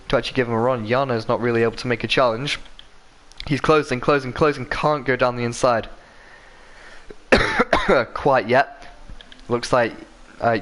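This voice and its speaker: A man calls an online racing league live, his voice a little croaky from a sore throat. He talks at a middling pitch, rambles between moments of action and corrects himself often, filling the gaps with ums and uhs. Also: a bit bright. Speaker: race commentator. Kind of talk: live sim-racing commentary.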